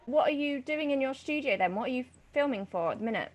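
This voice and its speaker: A woman's voice: sits high, expressive, quick pace. A woman hosting a casual online chat in a playful, informal way. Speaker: host of a chat show.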